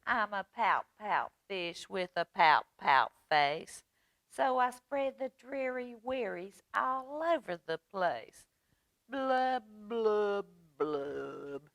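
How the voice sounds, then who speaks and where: A woman's voice: mid-range voice, expressive, extremely slow, creaky, fairly bright tone, no room sound, voice pushed up, exaggerated voice. A woman voicing a glum, self-pitying male fish from a picture book, in a dramatic singsong manner. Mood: gloomy, dramatic.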